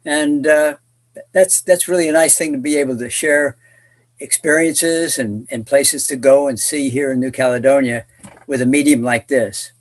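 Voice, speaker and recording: A man's voice: mid-range voice, normal speed. A man, a cruising guide author, joining a webinar by video call from his boat, enthusiastic with small restarts. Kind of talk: guest on a webinar.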